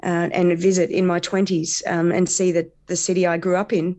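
A woman's voice: on the low side, flat delivery, moderate pace, a little mellow. A middle-aged woman, a comedian chatting casually as an interview guest, hesitant with many fillers. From Australia.

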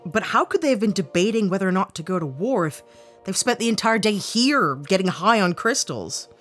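A woman's voice: mid-range voice, lively intonation, fairly quick, faint music behind, close to an everyday voice. A woman voicing a determined, curious young investigator in an audio drama, earnest and questioning. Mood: suspicious.

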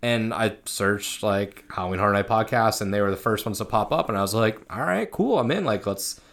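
Male podcast co-host, low voice, informal and self-deprecating, loose conversational delivery. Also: animated, brisk, fairly bright tone. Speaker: co-host of a horror attraction fan podcast. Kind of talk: casual chat.

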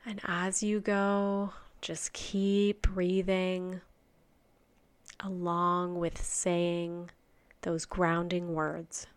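A woman leads a guided meditation at an extremely unhurried pace, letting her phrases drift down into near-whispers. Her voice is smooth and resonant, recorded close and dry with no room sound. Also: on the low side, monotone, dry recording. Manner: soft, slow and soothing, trailing off into near-whispers.

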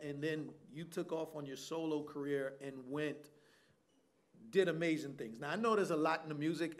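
A middle-aged man hosting an on-stage church interview, energetic and preacherly, calling for amens. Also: on the high side. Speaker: church event host.

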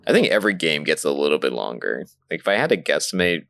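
A man's voice: a bass voice, very animated, quick pace, a bit bright, no room sound, studio quality. A man co-hosting a podcast in casual banter, wry and free with swearing. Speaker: podcast co-host.

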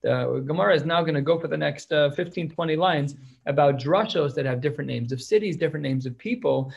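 A man's voice: a little high, fast talker, gravelly, breathy edge, lo-fi sound. A man teaching a class on a religious text, reading passages aloud and explaining them in casual English. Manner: reads Hebrew and Aramaic, then explains it in plain English. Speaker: Talmud teacher.

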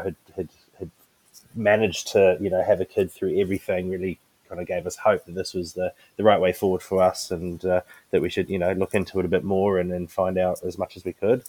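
A man's voice: sits low, darkish tone, dry recording, low-quality recording. A man from New Zealand, a podcast guest talking earnestly, repeating words and saying "you know". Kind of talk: conversational interview.

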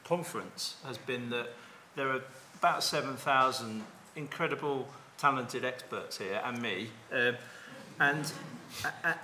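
A man's voice: medium pitch, noisy background. A man on a conference panel speaks bluntly and with self-deprecating humour, stumbling over restarts. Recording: echoey conference hall.

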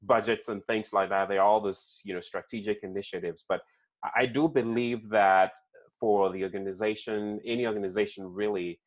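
Male host with a foreign accent and a lowish voice, loose and chatty, stumbling over repeated words. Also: steady tone, a little fast. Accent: non-native English accent. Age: young kids, adult. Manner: frequent restarts and "right?" tags. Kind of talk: conversational interview.

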